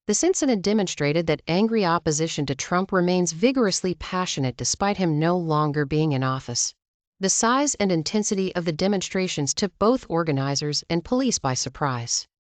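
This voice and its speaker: A woman's voice: fairly low pitch, no echo. A woman delivering scripted news narration as a channel's narrator.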